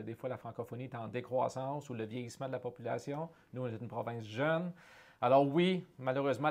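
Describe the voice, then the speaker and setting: A middle-aged man with a Canadian French accent talks through an interview in an earnest, conversational way. He often repeats a word or restarts a phrase while looking for the right one, and his voice sits at an ordinary pitch for a man. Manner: earnest, searching for words with frequent restarts. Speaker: guest, head of a francophone economic development organization.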